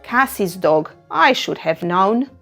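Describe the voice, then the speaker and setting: A woman's voice: mid-range voice, animated, creaky, fairly bright tone, soft background music, exaggerated voice. Stern, bossy headmistress acted by a woman. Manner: firm, scolding, deliberate.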